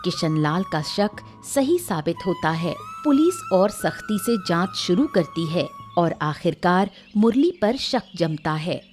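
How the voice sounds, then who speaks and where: A woman's voice: on the low side, sing-song, slow, hardly any pauses, a little mellow, soft background music. A woman narrating a scripted moral story in a dramatic storytelling style.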